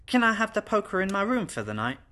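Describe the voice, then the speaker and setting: A man's voice: sits high, sing-song, fast, bright, forceful tone, pitched above the performer's own voice, heavily acted. A man voicing a small, earnest girl who talks in a cute, matter-of-fact way in a storybook reading. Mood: sleepy, curious.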